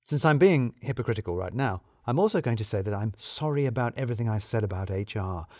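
A man's voice: on the low side, expressive, quick pace, mellow, no echo, clean studio sound. A man narrating a scripted first-person comic story in a wry, self-deprecating manner.

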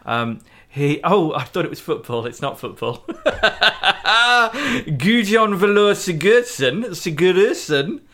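Deadpan man hosting a podcast. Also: fairly high pitch, slow pace. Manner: dry, deadpan, stumbles over names. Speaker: podcast co-host. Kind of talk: casual chat.

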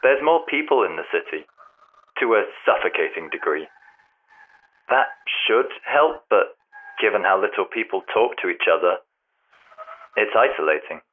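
A man's voice: on the low side, lively intonation, moderate pace, long pauses. An actor playing an earnest, lonely, anxious man in an audio drama, speaking hesitantly and wistfully through a telephone filter. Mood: thoughtful. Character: anxious, lonely man missing his faraway friend, earnest. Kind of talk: naturalistic audio drama acting, intimate conversation. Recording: tinny phone line, music underneath.

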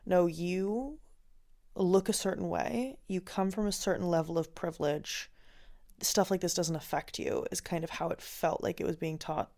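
A woman's voice: lowish voice, darkish tone. A woman from Finland hosting a podcast, talking through a candid, reflective personal monologue with lots of "like" and "um". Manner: candid and reflective, with frequent "like" and "um" fillers. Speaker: podcast host.

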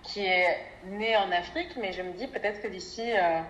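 A woman's voice: mid-range voice, moderate pace, a bit bright, dull audio. Interview guest: woman, 30s, thoughtful and articulate, recorded in an echoey space with some noise. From Belgium. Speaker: interview guest, development NGO worker. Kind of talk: reflective personal interview answers. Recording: echoey room with clear background noise.

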